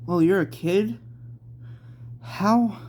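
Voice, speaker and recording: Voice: mid-range voice, drawn-out words, a little mellow, pitched above the performer's own voice. A narrator voicing a brusque, vengeful woman in her twenties in an amateur manga read-aloud. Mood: wary, questioning.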